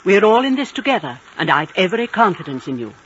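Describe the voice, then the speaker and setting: A woman's voice: medium pitch, big pitch swings, quick pace, lightly acted. An actress playing a prim, plucky missionary lady in a radio drama. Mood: earnest, composed. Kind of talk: 1950s radio drama acting. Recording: noisy, muffled old radio broadcast.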